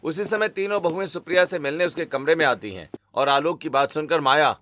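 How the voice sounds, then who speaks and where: Male narrator for animated family stories, voice a touch high, music bed underneath. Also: brisk. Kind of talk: scripted story narration for cartoon. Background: background music.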